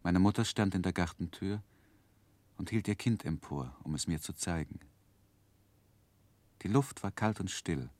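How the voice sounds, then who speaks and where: Sober man looking back, deep-pitched, reflective, measured literary narration, dry studio sound, 1960s radio drama. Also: darkish tone, dry recording. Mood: wistful, reflective. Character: grown man soberly remembering his sorrowful childhood.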